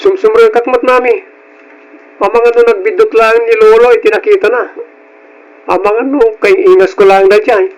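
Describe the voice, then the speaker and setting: A man voicing a cheeky, evasive high school boy with an Ilocano accent in a radio drama. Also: steady tone, normal speed, pauses often, muffled recording, close to an everyday voice. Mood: defensive. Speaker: drama voice actor.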